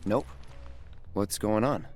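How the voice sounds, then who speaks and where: An actor playing a stoic, withdrawn young ex-soldier in a video game, terse and deadpan. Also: male voice, on the low side, slow pace, faint music behind. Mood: guarded. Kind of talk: understated video game cutscene acting.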